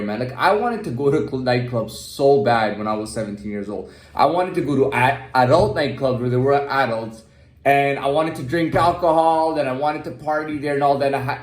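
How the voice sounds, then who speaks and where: A man talking candidly in a casual, rambling personal monologue, repeating himself and checking that the listener follows. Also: a little high. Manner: candid, repetitive, often checking with "you know what I mean". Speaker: vlogger telling personal stories. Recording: echoey, roomy sound.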